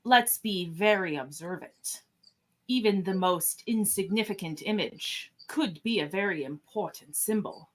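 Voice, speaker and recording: A woman playing a composed, commanding starship captain in a live radio-theatre script reading, deliberate and authoritative. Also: medium pitch, lightly acted. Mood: measured, commanding.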